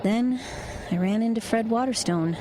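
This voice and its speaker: A woman's voice: on the high side, moderate pace, noisy background, close to an everyday voice. A woman playing a no-nonsense divorced truck driver in an audio drama, speaking in a direct, dry, conversational way. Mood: reflective. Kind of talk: audio drama acting and first-person narration.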